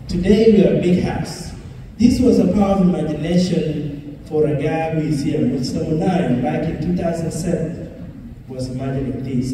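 A man's voice: on the high side, warm tone. A middle-aged man giving a motivational speech to a gathering over a PA microphone. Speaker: community speaker and realtor. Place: large hotel banquet hall, indoors. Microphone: handheld mic over PA, recorded from the audience. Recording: echoey hall from far off, faint noise.

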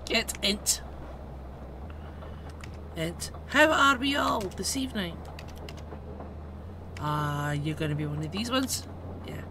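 A woman streaming a game, reading commands aloud under her breath and cursing at the screen. She talks slowly and drifts between mutters and outbursts. A steady hiss of noise sits behind her. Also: fairly low pitch. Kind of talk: live gameplay commentary.

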